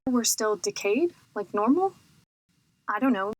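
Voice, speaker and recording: A woman's voice: fairly high pitch, expressive, measured pace. An actress playing an uneasy woman who recounts an eerie discovery in an audio drama, hesitant, wondering and conversational. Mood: puzzled, uneasy.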